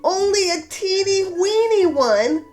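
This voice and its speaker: A small, frightened bear cub from a storybook, voiced by a woman. The voice is very high and childlike, plaintive and timid, with slow, unhurried words over soft music. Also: expressive, full character voice. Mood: insistent, worried. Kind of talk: storybook character read-aloud.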